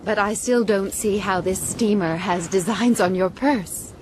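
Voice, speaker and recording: An actress playing a poised, sharp-witted gentlewoman at sea, with a polite, wry, questioning manner in a radio drama. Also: medium pitch, unhurried, noisy background, close to an everyday voice. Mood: wry, inquiring.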